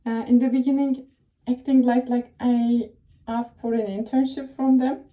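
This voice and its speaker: A woman's voice: fairly high pitch, level tone, normal speed, highs cut off. A young woman from Turkey with a Turkish accent, giving casual career advice in a rambling, chatty way full of fillers and self-corrections. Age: young adult. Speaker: tech professional giving advice.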